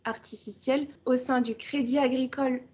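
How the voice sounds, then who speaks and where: A woman's voice: high-pitched, fairly even intonation, normal speed, darkish tone, breathy edge, lo-fi sound. A woman in her twenties giving short, composed statements in a testimonial. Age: 20s. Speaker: former trainee, now AI data developer. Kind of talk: testimonial interview.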